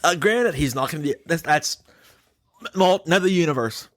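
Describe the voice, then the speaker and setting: A man's voice: on the high side, normal speed, fairly bright tone, no echo. A man hosting a podcast, giving his opinions in casual, emphatic chat and putting questions to his co-host.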